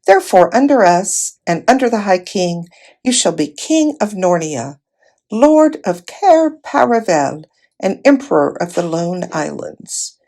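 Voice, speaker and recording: A woman plays a great storybook lion king, wise and kindly. The speech is slow and regal, with solemn weight and expressive rises and falls. A slight creak runs through it. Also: mid-range voice. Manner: measured, regal.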